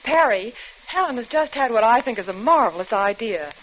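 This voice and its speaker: A woman's voice: fairly high pitch, expressive, brisk. An actress playing an eager, persuasive woman in a 1940s radio drama. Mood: eager, coaxing. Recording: dull old radio recording, faint noise.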